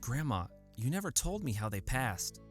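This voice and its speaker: Guy doing soft-hearted young farm boy, troubled, fantasy visual novel, medium pitch. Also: sing-song, moderate pace, faint music behind, close to an everyday voice. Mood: troubled, hesitant.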